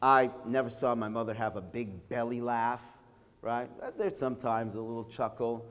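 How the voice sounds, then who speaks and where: A middle-aged male rabbi with a Brooklyn accent delivers an inspirational religious talk. His voice sits at a medium pitch and carries the long reverb of a large hall. He speaks conversationally, telling personal stories and checking in with his listeners. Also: steady tone, moderate pace. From Toronto, Canada. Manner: conversational, anecdotal, checks in with "right?".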